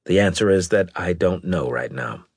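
Man doing a deep, husky, commanding old-school admiral. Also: expressive, a little mellow, dry recording, clean studio sound. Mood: chiding, measured. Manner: deliberate, authoritative, probing. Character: large, gruff male admiral, measured, commanding, skeptical. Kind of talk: audiobook character dialogue.

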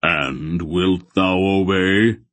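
A man's voice: low voice, fairly even intonation, drawn-out words, no room sound, full character voice. A man voicing a stern, majestic old god in a fantasy audiobook, with solemn, archaic, commanding speech. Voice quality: soft, solemn voice. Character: stern ancient bearded male god, grave and majestic.

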